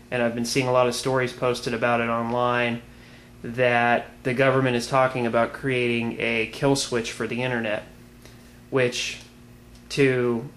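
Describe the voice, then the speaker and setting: An American man giving an informal product demonstration as a video reviewer, plain-spoken and unhurried. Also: mid-range voice, a bit bright. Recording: clearly noisy amateur video sound.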